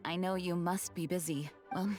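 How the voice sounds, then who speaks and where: A woman voicing a composed adult female knight commander, speaking in a measured, formal way as she explains. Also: fairly low pitch, fairly even intonation, a little mellow, natural voice. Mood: earnest. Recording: game audio with music behind.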